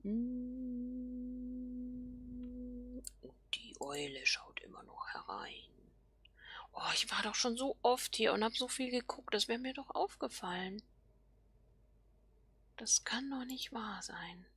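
A woman giving casual game commentary, musing softly and half whispering to herself. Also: a little high, animated, slow, pauses often, breathy edge, no echo. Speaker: let's player.

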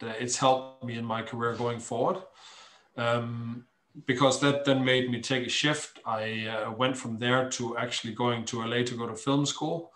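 A middle-aged man with a Danish accent talks conversationally as an interview guest, in measured, hesitant phrases with frequent pauses. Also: mid-range voice, fairly bright tone. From Denmark. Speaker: interview guest, charity founder. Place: small cluttered room at home, indoors. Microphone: computer mic on a video call. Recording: echoey room sound.

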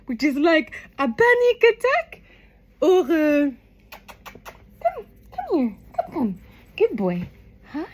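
Silly French doctor clown for kids' skit, played by a woman: high, very animated, apologetic, halting, French accent. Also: exaggerated voice. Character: clumsy, silly French lung doctor clown entertaining children. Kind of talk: improvised children's show skit.

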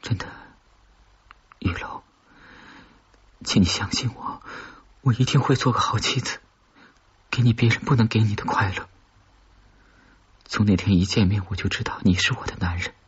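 An obsessive, lovesick woman of a suspense audiobook, acted out by a man, implores in a very breathy, whispery voice. The pitch is raised above a man's usual talk. The sound is slightly dull. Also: moderate pace, pauses often, a bit bright. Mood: tender, fervent. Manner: imploring, intense. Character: obsessive, lovesick, pleading woman who has killed.

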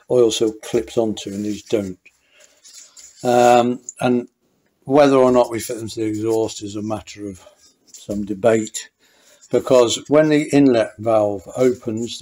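A man's voice: lowish voice, rough recording. Man talking through an engine rebuild. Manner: thinking aloud, relaxed, with many fillers and restarts. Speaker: motorcycle mechanic. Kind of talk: hands-on workshop commentary.

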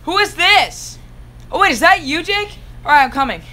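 A woman's voice: very high voice, expressive, moderate pace, sharp tone, a little hiss or hum, full character voice. A woman playing an anxious, urgent young hero in an amateur Minecraft roleplay. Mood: relieved.

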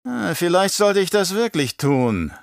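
A man's voice: on the high side, moderate pace, fairly bright tone, dry recording, clean studio sound, voice pushed up, natural voice. A man playing a scheming, imperious older baron in an audiobook, with a mocking, condescending, theatrical delivery. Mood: falsely sweet. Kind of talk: audiobook character acting.

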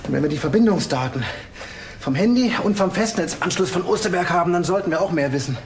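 An actor playing a keen police investigator in a crime drama, with a dry manner. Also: male voice, high voice, quick pace, slight background noise. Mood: deductive. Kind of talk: crime drama acting in German.

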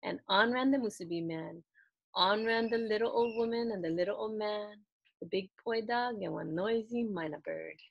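Female storyteller, thirties, medium pitch, dry close room sound, expressive picture-book narration. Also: dry recording, dull audio. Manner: clear, deliberate storytelling. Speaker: children's book reader. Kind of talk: expressive storybook read-aloud. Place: small indoor room lined with books.

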